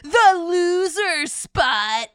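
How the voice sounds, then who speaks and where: Very high-pitched cocky kid. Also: female voice, lively intonation, drawn-out words, a bit bright, no echo, clean studio sound, exaggerated voice. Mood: taunting. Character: taunting, cocky child rival contestant. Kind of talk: audio drama acting.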